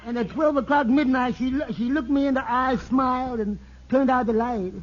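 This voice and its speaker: An actor playing a flustered, simple-minded, harmlessly boastful pal with a heavy comic stutter in an old radio sitcom. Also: male voice, very high voice, steady tone, normal speed. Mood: earnest. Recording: muffled, slightly noisy vintage radio recording.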